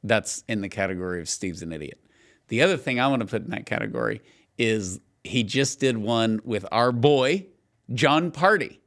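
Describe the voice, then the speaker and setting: Middle-aged male podcast co-host, deep and swooping delivery, ribbing his friends in loose, chatty storytelling. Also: normal speed. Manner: animated, teasing, emphatic, filler-heavy. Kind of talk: casual banter, storytelling.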